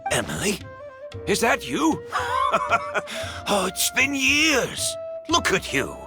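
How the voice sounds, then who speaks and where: A man's voice: high-pitched, wildly swooping pitch, takes it slowly, exaggerated voice. An actor playing a kindly, humble older male perfumer and mentor in a video game, modest and thoughtful. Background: game background music.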